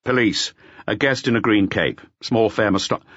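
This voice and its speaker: A gruff, terse male detective from an audiobook as a man acts him. He is curt and unimpressed, wasting nothing, in a close, dry and slightly dull recording. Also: lowish voice, normal speed, a bit bright, no room sound, voice pushed up. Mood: curt, businesslike. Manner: curt, laconic.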